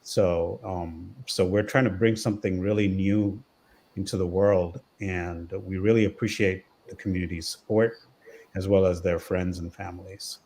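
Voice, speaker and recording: A man's voice: low-pitched, fairly even intonation, normal speed, a little mellow. A man on a project team talking through a launch in a loose, rambling way with many fillers. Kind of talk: live stream discussion.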